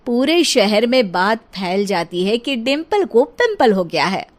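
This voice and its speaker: A woman narrating a cartoon story in a lively storytelling manner. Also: a little high, unhurried.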